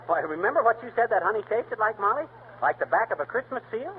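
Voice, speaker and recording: Blustering know-it-all husband, lively and high, tinny old radio with hiss. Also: male voice. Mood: smug. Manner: excitable, quick comic bluster. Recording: tinny 1930s radio broadcast, faint hiss.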